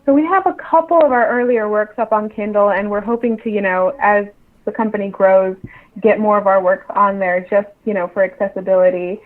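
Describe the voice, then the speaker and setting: A woman's voice: medium pitch, fairly even intonation, a little fast. Female publisher as interview guest, casual and explanatory, phone-like narrow sound.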